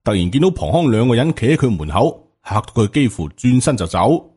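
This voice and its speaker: A man's voice: medium pitch, big pitch swings, fairly quick, a little mellow, husky. A man with a Hong Kong Cantonese accent narrating a supernatural novel as an audiobook. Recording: music behind the voice. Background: background music.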